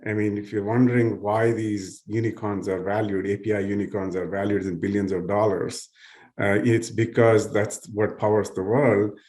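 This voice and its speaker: A man's voice: lowish voice, flat delivery, normal speed, darkish tone. A man speaking on a panel as a nonprofit tech leader, with loose, searching phrasing and many fillers. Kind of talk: panel discussion.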